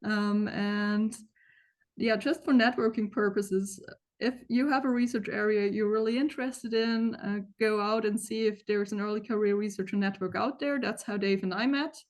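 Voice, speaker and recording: A young woman with a mid-range voice opens an online event over a video call. She is friendly and informal, with many filler pauses between her short announcements. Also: medium pitch, steady tone. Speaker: moderator introducing a speaker. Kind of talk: online event welcome and housekeeping.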